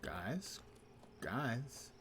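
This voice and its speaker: A man's voice: mid-range voice, big pitch swings, very slow, fairly bright tone, slight background noise. A man casually commentating his video game live as a streamer, talking to himself in a wry way.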